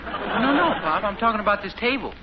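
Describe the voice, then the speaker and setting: A man's voice: high voice, lively intonation, a little fast, over a noisy crowd. A young man playing a wry, obliging teenage son in 1950s American radio sitcom style, casual and boyish. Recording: muffled 1950s radio recording, faint noise.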